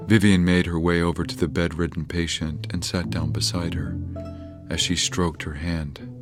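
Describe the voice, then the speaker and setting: An actor as a male storyteller narrating an audio drama, very deep and measured. Plucked guitar music plays clearly behind him. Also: flat delivery, natural voice. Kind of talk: scripted narration.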